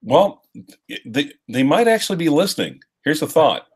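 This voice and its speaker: A man's voice: fairly high pitch, big pitch swings, normal speed, breathy edge. A man about to turn fifty-one, co-hosting a casual live-stream chat with dry, wry humor. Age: 50s.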